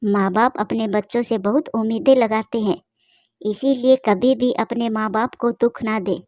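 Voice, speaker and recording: A woman's voice: a little high, steady tone, normal speed, darkish tone, no echo, clean studio sound. A woman storyteller reading scripted narration for a cartoon tale.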